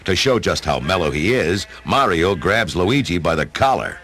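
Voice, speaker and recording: A man's voice: low voice, big pitch swings, normal speed, fairly bright tone. A man narrating a radio play as a dramatic storyteller, with a folksy, wry manner.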